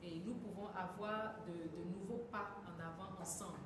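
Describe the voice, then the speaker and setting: A woman interprets a sermon phrase by phrase. She is often hesitant and rephrases herself as she goes. Her voice is mid-pitched and captured from far away in a strongly echoing hall with noticeable noise. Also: steady tone. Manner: quick, self-correcting, hesitant fillers. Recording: echoey hall from far off, noisy.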